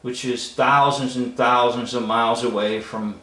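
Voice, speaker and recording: A man gives casual, musing commentary without a script. He speaks slowly and haltingly, at a middling pitch, in an echoey room. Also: fairly even intonation, sharp tone. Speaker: video commentator.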